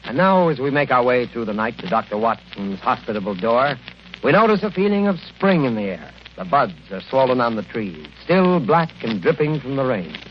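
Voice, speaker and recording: A man's voice: medium pitch, very animated, moderate pace. A man hosting in polished, emphatic 1940s radio announcer style, courteous and scripted. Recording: muffled, hissy 1940s radio recording.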